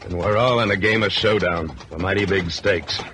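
An actor playing a tough, steady rancher hero who narrates a radio western in a terse, commanding manner. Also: male voice, low-pitched, normal speed, noisy background, natural voice. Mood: calm, resolute. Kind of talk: 1950s radio western acting. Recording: vintage radio drama recording with music.

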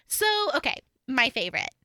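A young woman from Oklahoma with an American accent that occasionally slips into a Southern twang, chatting casually and gigglily as a podcast co-host in a high-pitched voice. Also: no echo, clean studio sound. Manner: giggly, animated, informal with frequent "like". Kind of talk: casual true-crime podcast chat.

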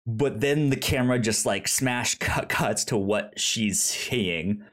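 A man with a mid-pitched voice talks casually about films as a podcast co-host. His delivery is slow and marked by a pronounced stutter, with repeated sounds and syllables. He rambles along enthusiastically. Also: a bit bright. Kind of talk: casual film discussion.

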